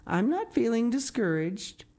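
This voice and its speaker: A woman's voice: a little high, sing-song, unhurried, dark tone, voice pushed up, exaggerated voice. A stern middle-aged farm woman from a storybook, acted out by a woman. She talks dryly and firmly in short, resolute sentences, with her mind made up. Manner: dry, firm, terse.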